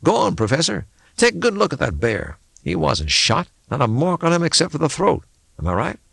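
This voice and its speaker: A man's voice: a little high, huge pitch swings, brisk, gravelly, fairly bright tone, breathy edge, dry recording, clean studio sound, voice pushed up, heavily acted. A man reading an audiobook, voicing an unruffled, sardonic backwoods man who needles and insists in folksy speech. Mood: sardonic, insistent. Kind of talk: audiobook character dialogue.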